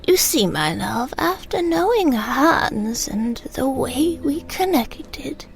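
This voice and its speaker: A woman playing a frail, wistful elderly British mother remembering her wartime childhood in a hushed, breathy audio drama monologue. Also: high voice, sing-song, soft background music, exaggerated voice. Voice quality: breathy, whispery. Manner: slow, hushed reminiscing.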